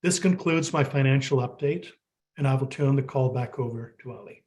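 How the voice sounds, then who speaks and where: Male CFO for an earnings video call. Medium pitch, formal, scripted corporate read. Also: brisk, a little mellow. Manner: formal, reading a prepared statement. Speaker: chief financial officer.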